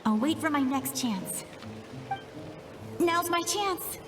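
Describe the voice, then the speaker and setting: A woman's voice: high voice, expressive, slow, music in the background. A woman voicing an eager, cheeky young girl sidekick in a video game, chirpy, teasing and self-assured. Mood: focused.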